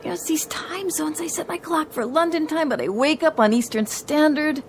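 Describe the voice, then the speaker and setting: A worn-out new stewardess, creaky voice, confiding, unsure, radio play, bit of music behind. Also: female voice, high-pitched, normal speed. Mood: weary, confiding. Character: frazzled, tired rookie young flight attendant. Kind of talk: radio drama acting.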